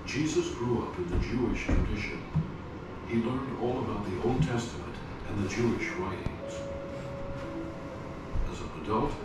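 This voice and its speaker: Measured male documentary narrator, echoey. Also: medium pitch. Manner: measured, posing rhetorical questions. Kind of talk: scripted narration. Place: documentary audio played in a garage gym. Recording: echoey, distant playback with loud noise.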